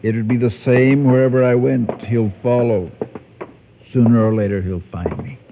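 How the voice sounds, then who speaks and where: An actor playing a weary, frightened former preacher in radio drama, speaking in a halting, fatalistic way. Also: male voice, medium pitch, steady tone, lightly acted. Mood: weary resignation. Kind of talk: old-time radio drama acting. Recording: narrow, hissy vintage radio recording.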